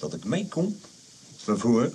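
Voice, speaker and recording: An actor playing a gruff, blunt older father, a junk dealer easily puzzled, in a radio comedy. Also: medium pitch, very animated, unhurried, over a noisy crowd. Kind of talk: comedy radio play acting. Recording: very noisy old radio play recording.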